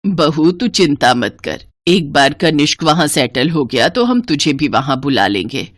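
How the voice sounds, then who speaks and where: A woman's voice: sits low, sing-song, measured pace, no room sound, pitched below the performer's own voice, exaggerated voice. A woman voicing a stern, bossy older Indian mother-in-law in a family storybook tale. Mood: reassuring. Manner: nagging, bossy.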